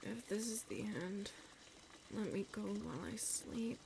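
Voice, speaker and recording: A woman voicing a young, anxious but stubborn mermaid in an ASMR audio roleplay, murmuring haltingly to herself. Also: mid-range voice. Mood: dazed, weak. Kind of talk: ASMR audio roleplay, breathy dramatic acting. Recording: clear background ambient noise.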